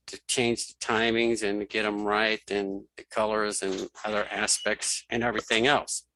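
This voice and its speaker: Male tutorial host, mid-pitched and crisp-edged, casual step-by-step self-narration, close dry sound. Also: bright, forceful tone, dry recording, poor audio. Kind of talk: casual spoken software tutorial.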